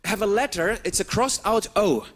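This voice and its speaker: A man, performing stand-up comedy for a live audience with lively outbursts. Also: high voice, moderate pace. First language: German. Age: 30s. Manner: animated, with sudden loud outbursts and put-on voices. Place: stage before a live audience.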